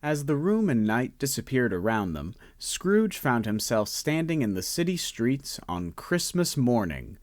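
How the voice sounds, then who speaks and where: A man narrating a student radio drama, savoring each phrase and slowing down on lists. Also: medium pitch, big pitch swings, no room sound, studio quality. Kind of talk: scripted story narration.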